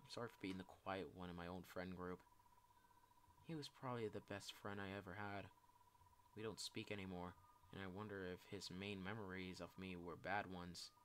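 A man's voice: lowish voice, fast talker, hardly any pauses, slight background noise, close to an everyday voice. A man voicing a shy, self-loathing young game character who speaks in a hesitant, subdued and apologetic way. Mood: downcast, ashamed.

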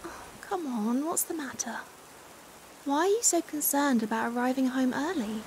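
A British woman playing a caring, fussing young stranger in a chatty, expressive ASMR roleplay. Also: sits high, moderate pace. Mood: coaxing, flustered. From United Kingdom. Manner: chatty, expressive, giggly hesitations. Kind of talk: acted ASMR roleplay, conversational. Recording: hiss and steady rain sound. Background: rain falling.

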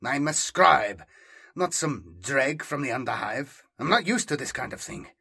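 A man's voice: medium pitch, lively intonation, a little slow, vocal fry, bright, forceful tone, breathy edge, full character voice. A man voicing an uneasy, resentful male scribe in an audiobook, with a defensive, snappish manner. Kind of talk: audiobook character dialogue.

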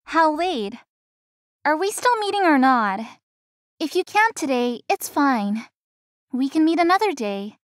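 A high, closely recorded young female florist from a visual novel. She is courteous and hard-working, and speaks with very little room sound. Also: big pitch swings, a little slow, long pauses, no echo, studio quality. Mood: friendly. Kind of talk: visual novel game acting.

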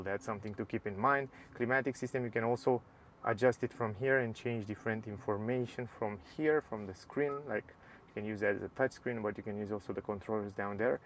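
A man reviewing a car's dashboard and screens from the driver's seat, in relaxed, slightly non-native English. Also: lowish voice, slight background noise. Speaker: car reviewer. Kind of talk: casual walkthrough narration. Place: inside a parked car.

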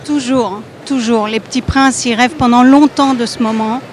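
A woman's voice: fairly high pitch, steady tone, normal speed. A woman representing a charity, explaining earnestly into a handheld mic in a large indoor arena. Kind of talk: interview answers. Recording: music and crowd noise behind.

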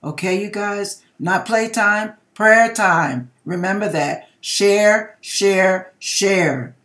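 Slow, theatrical middle-aged American woman. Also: medium pitch, a bit bright. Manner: theatrical, emphatic, with drawn-out words. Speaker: host reading viewers' dream accounts. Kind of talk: dramatic reading and direct address to viewers. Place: home kitchen, indoors.

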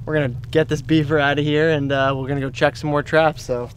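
A man's voice: fairly high pitch, level tone, fast talker. A young man hosting an outdoor trapping vlog, talking casually to the camera with laid-back slang and folksy exclamations. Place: outdoors by creeks and marshy fields. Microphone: camera-mounted shotgun mic. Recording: outdoor location sound with faint background noise.

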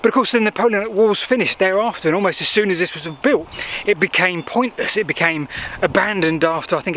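A man's voice: high voice, fairly bright tone. A man, explaining local history in a chatty way while walking outdoors with a handheld camera. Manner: chatty, explanatory, with thinking-aloud fillers. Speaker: history vlogger. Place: outdoors by a canal path and beach. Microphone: handheld selfie camera at arm's length. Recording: faint outdoor noise.

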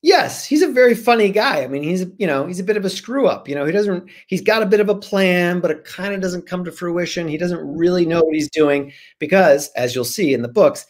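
Guy in his 40s chatting on a video call, slangy and animated, kinda goofy storyteller. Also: sits high, brisk, hardly any pauses. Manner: animated, joking, slangy, acts out imagined remarks. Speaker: guest author. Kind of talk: casual chat interview. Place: small room at home, on a video call.

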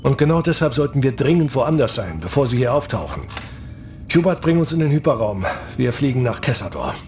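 A resolute starship captain from a space radio play, played by a man. He sounds casual and confident, with mid pitch and animated intonation over clear music. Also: brisk, lightly acted. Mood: urgent.

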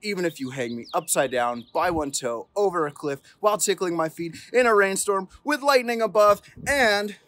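A man's voice: high-pitched, lively intonation, moderate pace, hardly any pauses, fairly bright tone. A young man reading a children's picture book aloud outdoors in a playful, theatrical way with emphatic build-ups. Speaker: police officer reading at storytime. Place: outdoors on an open terrace.

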